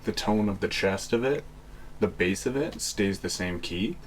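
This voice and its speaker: A man's voice: deep voice, animated, moderate pace, slight background noise. A young man hosting a podcast, giving rambling, casual explanations and often searching for words. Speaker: podcast host. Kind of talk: casual chat.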